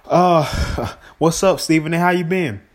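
A man's voice: a little high, a little slow. An upbeat man hosts a casual podcast interview. He greets his guest in a friendly way and moves on to his questions. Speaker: podcast host.

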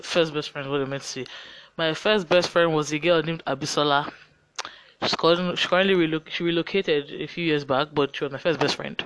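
A man's voice: fairly high pitch, a bit bright, no room sound, low-quality recording. A teenage boy with a Nigerian accent, chatting casually through get-to-know-me questions on his own podcast, sounding tired. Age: teens. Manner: chatty, rambling, self-mocking asides. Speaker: podcast host.

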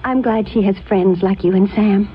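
A woman's voice: mid-range voice, moderate pace, slight background noise, natural voice. An actress in a radio drama playing a brave, defiant young girl with magical powers, earnest and bold. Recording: muffled radio broadcast with loud music.

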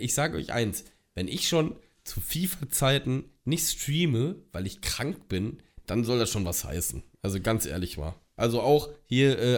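A young man co-hosting a podcast, hoarse from a cold, rambling and complaining in casual chat with plenty of swearing. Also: medium pitch. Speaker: podcast co-host.

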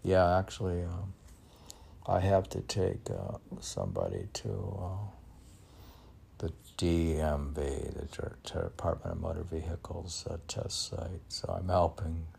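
An older man reading his own poems and chatting, halting and soft, often restarting his words. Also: low voice, lively intonation, creaky, a little hiss or hum. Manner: halting, with frequent restarts and fillers. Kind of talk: poetry reading mixed with casual chat.

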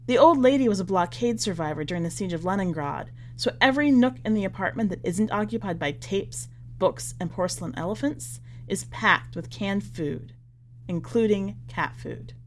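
A woman narrating literary fiction from a script, with measured, deliberate phrasing that falls away at the ends of lines. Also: mid-range voice, expressive. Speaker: podcast editor reading a short story aloud.

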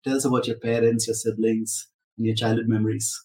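A man's voice: lowish voice, little pitch movement, fairly quick, a little mellow, distant mic. A man hosting a business podcast, who opens with a showman's welcome and then asks questions in a conversational way. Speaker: podcast host. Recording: roomy, from the mic, faint noise.

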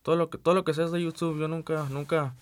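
A man's voice: fairly high pitch, level tone, fast. A man in his early twenties from the United States, chatting casually as an interview guest. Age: early 20s. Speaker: accordion player, interview guest. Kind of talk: casual chat.

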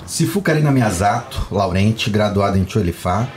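A man's voice: fairly low pitch, animated, moderate pace, a little hiss or hum. A man with a Brazilian Portuguese accent hosting a podcast, joking and laughing as he reads a guest's introduction. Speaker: podcast host. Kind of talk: playful podcast banter.

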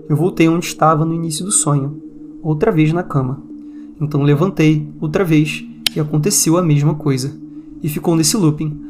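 A man's voice: a little high, a little mellow. A man with a Brazilian accent hosting a podcast, reading out and commenting on listeners' eerie stories. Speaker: podcast host. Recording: music bed under the voice. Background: background music.

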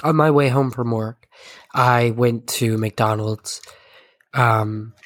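A man hosting a casual podcast, hoarse and stuffy from a sinus infection, chatting in a rambling, self-deprecating way. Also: medium pitch, fairly even intonation, slow, no room sound. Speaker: podcast host.

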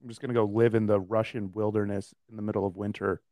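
A man co-hosting a podcast, chiming in with joking, animated reactions in casual banter. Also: lowish voice, fast, no echo. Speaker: podcast co-host.

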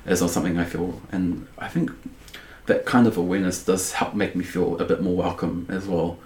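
A man's voice: low-pitched, steady tone, fairly quick, darkish tone, echoey room. A young man, a podcast guest, talking casually, rambling and full of restarts and "like". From New Zealand. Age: young adult. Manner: rambling, heavy use of "like", many restarts.

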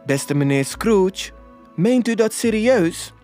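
Genteel charity lady played by a male reader: storybook, polite, halting, astonished, raised pitch. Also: lively intonation, a little mellow, soft background music. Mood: hesitant, astonished. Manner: halting, courteous.

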